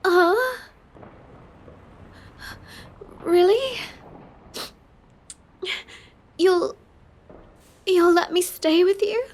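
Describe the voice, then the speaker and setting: Woman acting as a shy sweet girl villainess, really high voice, slow n halting, intimate roleplay audio. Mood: hesitant, grateful. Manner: halting, apologetic, soft-spoken and sweet. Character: shy, tearful young woman villainess feigning helplessness.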